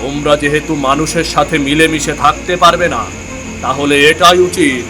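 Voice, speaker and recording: A man's voice: on the high side, fairly even intonation, normal speed, close to an everyday voice. A man voicing a stern village headman. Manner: stern, authoritative, scolding. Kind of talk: cartoon voice acting. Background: background music.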